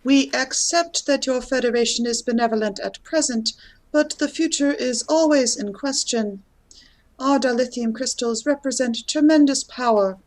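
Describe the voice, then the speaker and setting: Lady acting alien council elder stateswoman type, high voice, very formal, solemn and wont budge, space radio play. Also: darkish tone, close to an everyday voice. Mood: solemn, resolute. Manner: formal, grave, deliberate. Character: solemn, unyielding female alien council leader, devoted pacifist. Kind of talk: science fiction fan audio drama.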